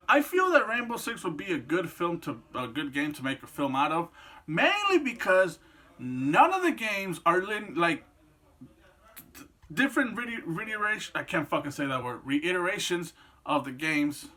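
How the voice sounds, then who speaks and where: A man's voice: high voice, bright, forceful tone. A man in his twenties giving casual, rambling, opinionated commentary on games and films, swearing freely as he goes. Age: 20s. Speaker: video creator and host.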